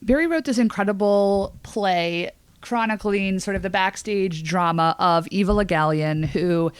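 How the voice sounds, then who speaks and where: A woman's voice: mid-range voice, a little slow, clear, resonant tone, no room sound. A woman co-hosting a podcast in casual chat, talking in a gushing, emphatic, slangy way. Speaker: podcast co-host.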